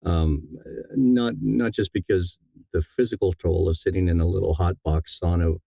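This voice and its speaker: Very deep, mellow-voiced man. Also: fairly even intonation, vocal fry, dry recording, muffled recording. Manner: halting, reflective, sincere. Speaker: guest, audiobook narrator. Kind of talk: casual interview conversation.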